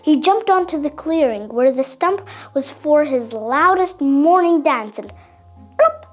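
A little girl with a high voice reads a children's story aloud. Her delivery is expressive and dramatic, with faint music behind her. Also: measured pace. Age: young child. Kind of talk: scripted children's story narration.

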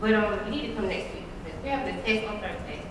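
A woman's voice: medium pitch, expressive, rapid-fire, close to an everyday voice. A woman acting a sassy young churchgoing college student with an American accent in a live stage play. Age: young adult. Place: theater stage, picked up by a far camera. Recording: echoey theater stage, distant camera, noisy.

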